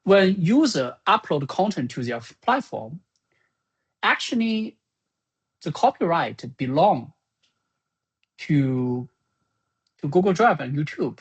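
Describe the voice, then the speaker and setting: A software creator giving an informal spoken summary of business plans in a halting, think-aloud manner. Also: mid-range voice, very animated, slightly rough, lo-fi sound.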